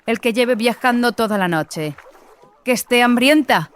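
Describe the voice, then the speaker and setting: A woman playing a haughty, impatient young sorceress adept in an audiobook, speaking in a mocking, deliberate, falsely sweet way. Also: a little high, animated. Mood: irritated, sarcastic. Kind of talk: acted audiobook dialogue.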